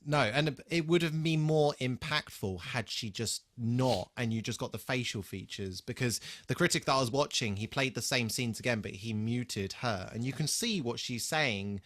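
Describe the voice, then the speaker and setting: Male podcast co-host, mid-pitched and bright, dry close sound. Casual, wry, sweary, unscripted banter. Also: moderate pace, hardly any pauses, no echo. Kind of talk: casual unscripted TV re-watch chat.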